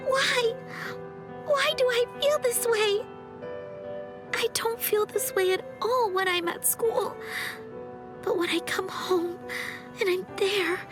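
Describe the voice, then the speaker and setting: Sweet anxious anime schoolgirl, super high voice, hesitant n self-deprecating, music behind her. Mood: tearful. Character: sweet, insecure teenage schoolgirl, tearfully confessing jealousy. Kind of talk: anime game voice acting.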